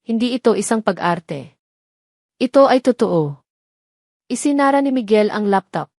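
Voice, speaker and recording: Female narrator, scripted audio drama, measured delivery, dramatic pauses, medium pitch, close dry recording. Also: lively intonation, creaky, darkish tone, dry recording. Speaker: story narrator.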